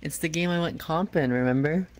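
A man's voice: a little high, fairly quick. A man joining a casual stream chat, joking and laughing along. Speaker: friend on stream.

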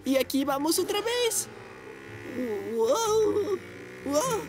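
A woman's voice: very high-pitched, very animated, extremely slow, over music, full character voice. A woman voicing a carefree, puzzled vehicle in a children's cartoon, drawn out and exclamatory. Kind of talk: children's animated series dub.

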